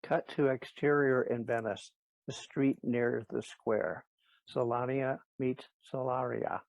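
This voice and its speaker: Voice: medium pitch, steady tone, takes it slowly, gravelly, muffled recording. A narrator reading stage directions for an audio play in a scripted style.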